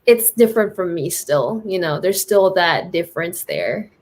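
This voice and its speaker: A woman's voice: lowish voice, very animated, normal speed. A woman with an American accent talking informally and reflectively as a guest in a casual interview, explaining things. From United States.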